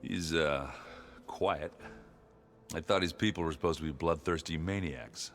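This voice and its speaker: An actor playing a gruff, good-natured warrior in a video game, talking in a blunt, rambling, self-deprecating and jokey way. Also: male voice, a bass voice, unhurried. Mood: joking, casual.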